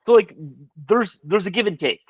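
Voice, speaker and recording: Animated man chatting on a video call. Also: high-pitched, normal speed, breathy edge, no echo, highs cut off. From United States. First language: Polish. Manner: animated storyteller, many restarts. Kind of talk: casual chat.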